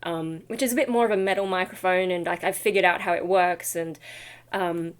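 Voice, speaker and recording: A woman's voice: on the low side, steady tone, a little fast, clear, resonant tone. A woman chatting casually for a vlog in a tired, rambly, self-deprecating way with trailing asides. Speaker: vlogger and metal vocalist.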